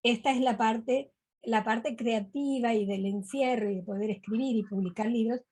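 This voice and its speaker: A woman's voice: on the high side, fairly even intonation, moderate pace, darkish tone, slightly rough. A woman interviewer with a Rioplatense Spanish accent, guiding the talk over a video call with short affirmations. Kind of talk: casual interview.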